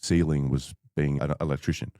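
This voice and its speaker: A man with an extremely low, warm, mellow voice speaks as a podcast guest. He tells his story in a measured, reflective way, often pausing on fillers. The sound is dry and close, without room echo. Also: fairly even intonation. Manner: measured, thoughtful, with frequent fillers.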